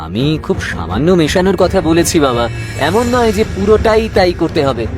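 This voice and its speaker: A man's voice: sits high, sing-song, brisk, music in the background. A man playing a shopkeeper's profit-minded grown son in a cartoon tale, respectful but hesitant, persuasive and self-justifying. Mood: wheedling. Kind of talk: cartoon fairy-tale voice acting.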